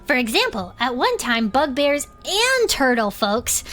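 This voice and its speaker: A woman's voice: high-pitched, sing-song, measured pace, fairly bright tone, faint music behind, exaggerated voice. A woman playing a friendly, bookish turtle-folk investigator who explains things earnestly and chirpily as a podcast host in an audio drama. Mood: eager, explanatory.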